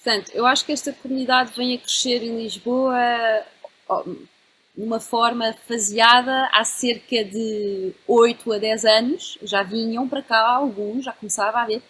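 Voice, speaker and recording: A woman's voice: on the high side, fairly even intonation, moderate pace, fairly bright tone, slight background noise, low-quality recording. A woman in her thirties with a European Portuguese accent, chatting in a panel discussion and often checking in with tag questions. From Belgium (Brussels), Portuguese family. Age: 30s.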